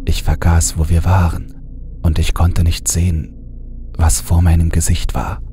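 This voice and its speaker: Deep, slow man narrating horror. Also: flat delivery, a little hiss or hum. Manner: hushed, often half-whispered delivery. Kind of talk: scripted horror audiobook narration. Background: music bed.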